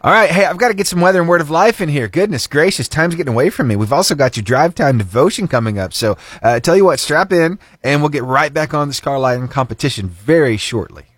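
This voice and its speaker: Male radio morning-show host, lively pitch, dry close studio sound, fervent then chatty. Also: fast talker, few pauses, breathy edge, no room sound. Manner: animated, fervent praying then chatty and upbeat. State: slightly itchy throat, voice lower than usual. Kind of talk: prayer and casual radio chat. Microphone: studio microphone.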